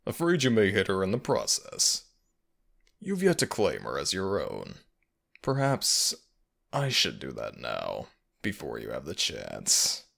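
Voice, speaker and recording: A man acts out a sadistic male fox-spirit villain for an ASMR roleplay. The voice is very deep and gravelly, drawing out each taunt at a slow pace. The delivery is theatrical and mocking, full of menace. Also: huge pitch swings, fairly bright tone, heavily acted. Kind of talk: ASMR audio roleplay acting.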